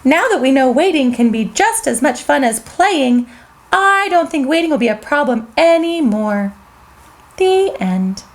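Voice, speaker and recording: A woman reading a children's story aloud in an animated, sing-song way as the host. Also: high voice, unhurried.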